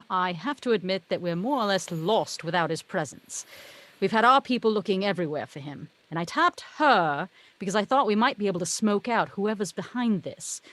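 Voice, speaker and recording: A woman's voice: mid-range voice, fairly bright tone, dry recording, close to an everyday voice. A woman reading the part of a wry, knowing, articulate heavenly muse in a film script read-through.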